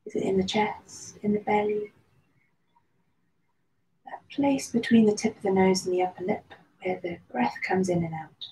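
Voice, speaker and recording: A woman leading a guided meditation, speaking slowly and soothingly with long pauses. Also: medium pitch, fairly even intonation, mellow. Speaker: meditation and yoga teacher. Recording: roomy echo with faint background noise.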